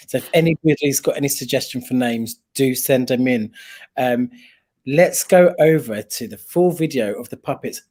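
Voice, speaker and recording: A man hosts a casual online chat show in a husky, slightly breathy voice. He talks enthusiastically and fills the gaps with little fillers. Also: medium pitch, darkish tone. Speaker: host.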